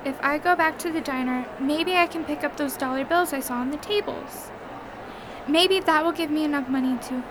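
Over clear background noise, a woman acts out a young alien girl with a high, small voice. She is earnest and desperate, and speaks with careful, formal wording in radio-play style. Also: normal speed, natural voice. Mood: flustered. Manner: stiffly formal, avoids contractions. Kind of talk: audio drama acting.

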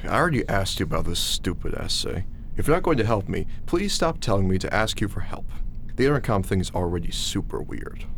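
A man's voice: low voice, sing-song, brisk, vocal fry, fairly bright tone, a little hiss or hum, exaggerated voice. A young man playing an earnest, skeptical college freshman in an audio drama, casual, awkward and frank. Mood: exasperated. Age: young adult. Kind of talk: naturalistic audio drama acting.

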